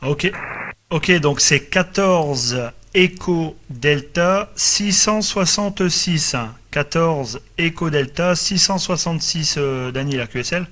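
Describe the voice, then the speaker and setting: A man's voice: on the high side, takes it slowly, fairly bright tone. Man chatting over a noisy radio. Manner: hesitant, repeats words, tag words at phrase ends. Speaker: amateur CB radio operator. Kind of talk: casual radio contact chat. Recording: noisy radio transmission with static.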